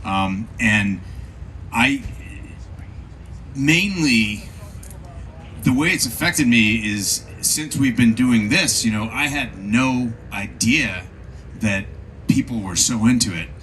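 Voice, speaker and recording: A man speaking as a guest on a convention panel, earnest and halting. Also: mid-range voice, sharp tone, slight background noise, rough recording.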